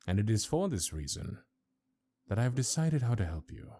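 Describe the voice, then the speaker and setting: Man acting a mellow, dark-voiced imperious fantasy elder. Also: on the low side, very animated, dry recording. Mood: grave, commanding. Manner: formal, archaic, haughty and deliberate. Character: stern, imperious old high councilor of a fantasy realm. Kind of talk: fantasy audio roleplay acting.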